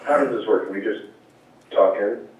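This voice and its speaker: A man's voice: on the low side, normal speed. A man on the board, calling in to a meeting, asking careful questions with hesitant restarts. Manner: careful, hesitant, repeats words. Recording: echoey, distant, phone-like line.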